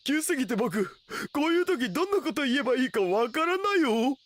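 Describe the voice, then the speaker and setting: Nerdy otaku guy, bouncy animated pitch. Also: brisk, heavily acted. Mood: excitable. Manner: slangy, teasing, geeky internet speak. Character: laid-back, nerdy young otaku man. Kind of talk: Japanese anime radio drama acting.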